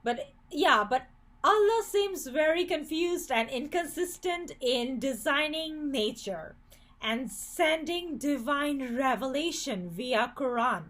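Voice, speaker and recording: A woman's voice: high voice, animated, slow pace, a bit bright. A woman from Bangladesh giving an earnest, critical opinion commentary, with occasional stumbles and restarts.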